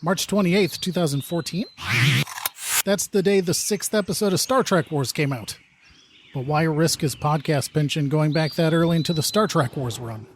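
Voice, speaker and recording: An earnest, work-obsessed time detective acted by a man with a slightly high voice. He talks theatrically in a parody radio drama, with faint noise on the recording. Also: normal speed, lightly acted. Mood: serious. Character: gruff, duty-obsessed male time-crimes investigator who scorns leisure.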